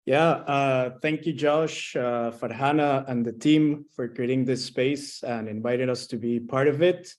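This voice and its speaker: A man's voice: medium pitch, fairly even intonation, a little slow, hardly any pauses, darkish tone. A man from Costa Rica, explaining an initiative as a guest panelist. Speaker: guest panelist, co-founder of a climate platform. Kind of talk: explanatory webinar presentation.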